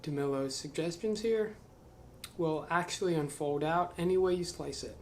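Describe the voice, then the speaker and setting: Musing male host reading to camera: slightly high voice, slow self-questioning asides, faint hiss behind. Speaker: channel host reading a book aloud. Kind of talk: reading aloud with reflective commentary.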